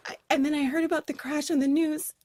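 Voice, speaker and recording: An anxious, apologetic young woman voiced by an actress stumbles and restarts as she talks in an audio drama. Her voice is fairly high, and the recording is close and dry. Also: flat delivery, darkish tone, no room sound, close to an everyday voice. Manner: halting, apologetic rambling. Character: nervous, rambling young woman friend.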